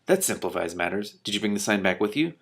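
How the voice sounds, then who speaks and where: A man reading a storybook as a composed, shrewd female dragon, with a measured, dry delivery. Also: fairly low pitch, sing-song, vocal fry, a bit bright, slightly rough, full character voice. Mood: fierce, satisfied. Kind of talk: storybook read-aloud.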